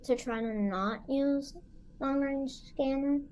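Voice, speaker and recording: Higher-voiced woman chatting as a game player. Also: slow, a little mellow, slight background noise. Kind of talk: casual chat.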